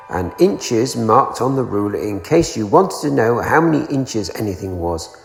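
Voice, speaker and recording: Male children's storybook narrator, lively pitch swings, slightly gravelly, music underneath. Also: reverberant, long reverb tail. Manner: animated, acting out character voices. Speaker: storybook reader. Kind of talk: expressive children's storybook read-aloud.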